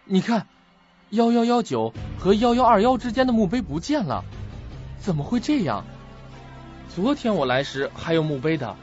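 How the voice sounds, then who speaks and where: A man's voice: a little high, sing-song, a little fast, hardly any pauses. An actor playing a troubled, protective young male student in an audio drama, on a lo-fi recording. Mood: alarmed. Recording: dull lo-fi recording with music.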